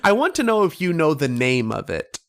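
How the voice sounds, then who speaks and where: A man's voice: a little high, huge pitch swings, fairly quick, no echo, clean studio sound. A man playing an earnest, hesitant ten-year-old boy in a radio drama, politely questioning his mother. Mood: shy, curious.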